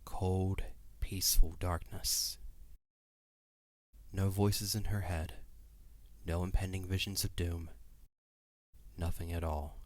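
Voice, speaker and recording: A man's voice: sits low, no room sound. A man narrating a fantasy audio drama in a dramatic, scripted storytelling style. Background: music.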